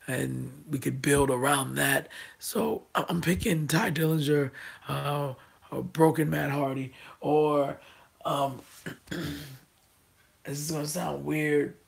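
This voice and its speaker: A man who has lost his voice, talking through casual wrestling predictions with a hoarse, raspy voice and many ums, restarts and throat clearing. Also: fairly high pitch, fairly bright tone. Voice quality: raspy, gravelly. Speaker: wrestling vlogger.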